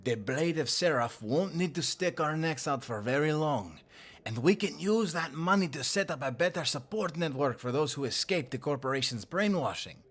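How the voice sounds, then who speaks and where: A man's voice: a little high, expressive, normal speed, a bit bright, breathy edge, full character voice. A man playing a teasing, mercenary outlaw comrade in an audio drama, mocking and dropping in Spanish words. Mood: calculating. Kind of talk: audio roleplay voice acting.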